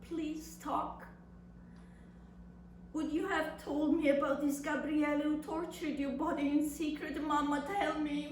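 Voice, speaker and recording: In a very echoey room, away from the mic, a woman performs an anguished young daughter in a literary stage monologue. The voice is high and slow, with theatrical, impassioned weight on each phrase as she addresses her suffering mother. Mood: wistful, pained. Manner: impassioned, deliberate, theatrical delivery with long pauses. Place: reverberant indoor venue at a live reading. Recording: echoey room, distant mic, faint noise.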